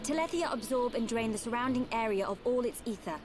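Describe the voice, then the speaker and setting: A woman's voice: a little high, expressive, fast, lightly acted. A woman playing a composed, serious and knowing young warrior in a video game. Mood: grave, cautioning. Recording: music behind the voice.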